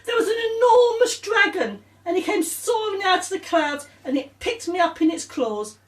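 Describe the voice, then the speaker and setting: Woman putting on a plaintive, high-pitched little storybook girl. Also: animated, moderate pace. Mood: dramatic, excited. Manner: plaintive, dramatic, boastful. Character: little girl telling dramatic tall tales about her bad knee. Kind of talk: storybook character voice. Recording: roomy echo, distant microphone.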